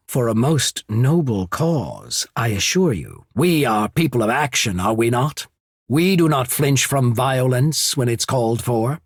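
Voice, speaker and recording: An actor playing a calm, calculating statesman and spymaster in a video game, with soft, formal, deliberate speech and cool ambition. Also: medium pitch, husky, dry recording, clean studio sound, exaggerated voice. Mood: courteous, discreet. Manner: measured, formal, deliberate diction. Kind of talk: scripted game dialogue.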